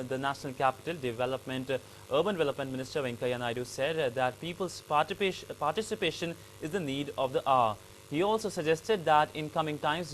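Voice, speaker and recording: A man with an Indian English accent reading the news as an anchor, in a halting, slightly stumbling delivery. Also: on the high side, a little hiss or hum, low-quality recording.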